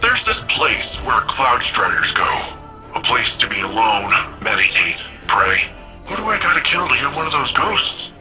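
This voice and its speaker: An actor playing a young, cocky, laid-back futuristic hero in a video game, talking in a breezy, slangy way. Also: male voice, mid-range voice, big pitch swings, measured pace, low-quality recording. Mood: curious, excited. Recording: music behind the voice.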